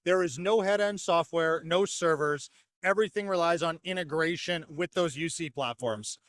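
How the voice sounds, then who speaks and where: A man with a fairly high voice explains products in an articulate, orderly way. The sound is dry and close, like a company representative being interviewed. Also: normal speed, fairly bright tone, no room sound. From North America. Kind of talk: fluent product explanation at a trade show. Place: trade show exhibition hall.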